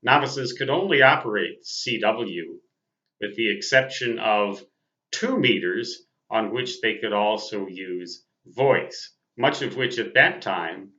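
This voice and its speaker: An American man in his sixties reminiscing casually as a host, speaking in a deliberate, precise way. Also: mid-range voice, sharp tone. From Minnesota, United States. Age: 60s. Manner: deliberate, precise, spells out terms phonetically. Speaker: host, amateur radio operator. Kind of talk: casual reminiscing monologue to viewers. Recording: dull, lo-fi sound.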